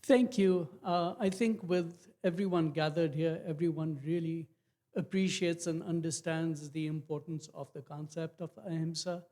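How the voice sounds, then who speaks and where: Man, fairly high voice, measured and halting, pausing on fillers. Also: steady tone, mellow. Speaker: audience member. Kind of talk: public comment at an event. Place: event hall.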